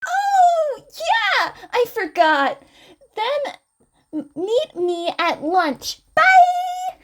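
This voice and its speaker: A woman voicing an excitable, scatterbrained teenage girl who exclaims and stammers, in a fan fiction story reading. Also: extremely high pitch, very slow, pitched above the performer's own voice, exaggerated voice. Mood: excited.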